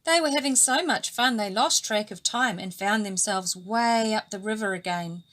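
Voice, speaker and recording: A woman from New Zealand reading a children's story aloud with animated, lively storytelling. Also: medium pitch, moderate pace. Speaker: storybook reader. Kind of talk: expressive children's story narration.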